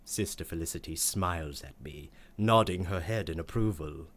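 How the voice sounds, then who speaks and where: A man's voice: sits low, moderate pace, a bit bright. A man playing a prim, florid, girlish-minded aunt narrating a radio play in a pompous manner, savoring each word. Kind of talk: theatrical scripted radio play monologue.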